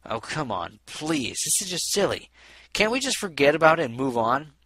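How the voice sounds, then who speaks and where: A man voicing a boastful, smooth-talking male pony, a self-important musician and inventor, in a storybook reading. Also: fairly high pitch, big pitch swings, moderate pace, a bit bright, no echo, pitched above the performer's own voice, exaggerated voice. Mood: pleading.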